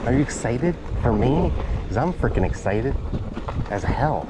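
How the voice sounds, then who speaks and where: An excitable man rambles to his viewers while riding an ebike up dirt hills, filling his talk with casual swearing. His voice sits in an ordinary middle pitch. It comes from a chest-mounted action camera, half buried under heavy wind rush. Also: measured pace. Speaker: ebike vlogger. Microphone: GoPro chest mount camera. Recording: loud wind-buffeted action camera audio. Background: loud wind noise on the mic.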